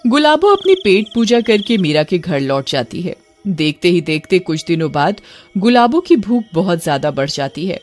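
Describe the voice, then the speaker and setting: Storybook narrator, even delivery, faint music behind. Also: medium pitch. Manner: steady, matter-of-fact telling.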